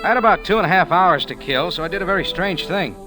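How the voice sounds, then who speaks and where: An actor playing a wisecracking, unflappable cafe owner and amateur sleuth, wry and casual, in a 1940s radio detective style. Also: male voice, sits high, expressive, fast, music in the background, low-quality recording. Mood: hard-boiled narrating. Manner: wry, hard-boiled, casual. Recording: old radio drama recording.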